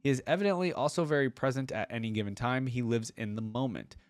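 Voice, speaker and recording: A man's voice: medium pitch, fast, no room sound. A man hosting a reaction video with casual, conversational commentary.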